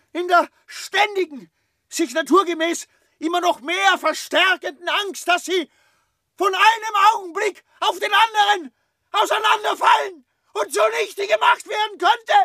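An actor playing an obsessive, tormented scholar in a radio play monologue, speaking emphatically and haltingly, repeating himself. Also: male voice, very high voice, pushed and bright, no room sound, studio quality, exaggerated voice. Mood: brooding, obsessive. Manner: emphatic, repetitive, halting delivery with drawn-out words. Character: obsessive, tormented scholar consumed by his life's study.

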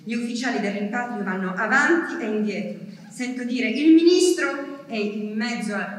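A woman acts out a dramatic monologue on stage, heard from far off in a very echoey hall with long reverb. Her delivery is grave and halting, built from short phrases. Some noise sits in the recording. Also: medium pitch. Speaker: stage actress performing a monologue. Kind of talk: dramatic theatre monologue of a war diary.

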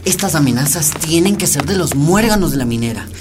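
A woman's voice: deep voice, lively intonation, fairly quick, crowd noise. An actress playing a worried woman at a community radio, speaking in a grim, matter-of-fact way.

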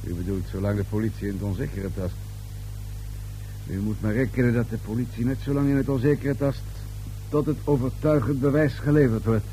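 An actor playing a dryly suggestive police inspector in a radio play, with measured, insinuating questioning. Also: male voice, medium pitch, steady tone, mellow, soft background music, natural voice, poor audio. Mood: grave, pointed.

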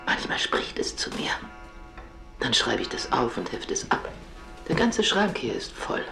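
A man playing a dreamy recluse in a radio play, giving a hushed, intimate monologue in a creaky half-whisper. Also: medium pitch, wildly swooping pitch, measured pace, soft background music, exaggerated voice. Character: dreamy reclusive parent absorbed in an old radio.

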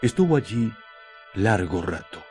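A male narrator reads a scripted radio drama. His voice sits a little low and moves very slowly and dramatically, over a clear music bed. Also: big pitch swings, darkish tone.

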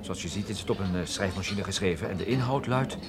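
An actor playing a wry, cool private detective in a radio drama, speaking and narrating with dry deadpan delivery. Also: male voice, deep voice, quick pace, over a noisy crowd. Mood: matter-of-fact. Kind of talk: radio drama acting with first-person narration.

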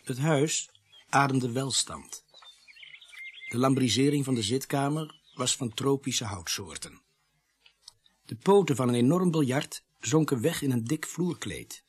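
A man's voice: medium pitch, slightly rough. An actor playing an observant, wry male narrator telling a detective story in a scripted radio play.

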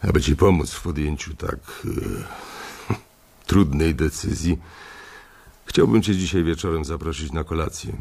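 An actor playing an older, domineering bank boss who is blunt, curt and coarse in a radio drama. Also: male voice, extremely low pitch, a little slow, gravelly, long pauses, a little mellow, slightly rough, full character voice. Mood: persuasive. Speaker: head of a bank trading floor.

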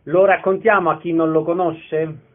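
A man with a slightly high voice presents as a radio interviewer. His lines are prepared and concise. The recording is thin and narrow, with telephone-like sound. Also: steady tone, normal speed. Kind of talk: scripted introduction and short interview questions.

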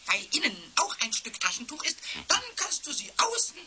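A man playing a cheeky, childlike little kobold in a children's radio play, with a squawky voice and excitable, repetitive stammering chatter. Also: normal speed, full character voice. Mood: proud, secretive. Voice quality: high, squawky cartoonish voice. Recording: hissy, clicky old radio play recording.

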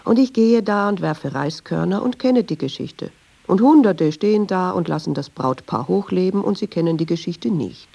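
A woman's voice: on the low side, lively intonation, normal speed, vocal fry, dark tone, breathy edge, heavily acted. An actress playing a candid, uneasy middle-aged woman in a radio drama, speaking reflectively in short, observant clauses. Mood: reflective, insistent. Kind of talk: radio drama dialogue and inner monologue.

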